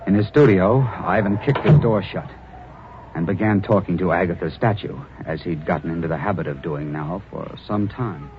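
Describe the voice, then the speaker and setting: Man as a police reporter telling the case, deep voice, lo-fi old radio hiss. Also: normal speed, close to an everyday voice. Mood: steady. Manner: steady storytelling narration. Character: young male police reporter narrating the case. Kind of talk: 1940s radio acting. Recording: dull lo-fi old radio recording.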